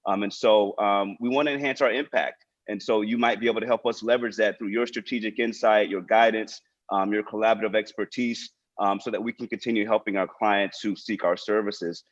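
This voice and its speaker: Man, low pitch, nonprofit director, informal presentation, dull lo-fi video call sound. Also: steady tone, brisk, few pauses. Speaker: nonprofit director and guest speaker. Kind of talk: informal prepared remarks on an online panel.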